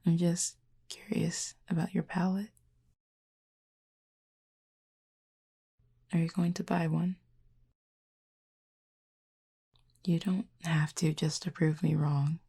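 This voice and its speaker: A woman voicing a calm, curious cupid in an intimate audio roleplay, speaking softly and half-whispered with formal, measured, gently dry phrasing. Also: fairly low pitch, exaggerated voice. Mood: curious, composed. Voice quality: breathy, soft, half-whispered. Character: calm, curious otherworldly cupid who feeds on feelings.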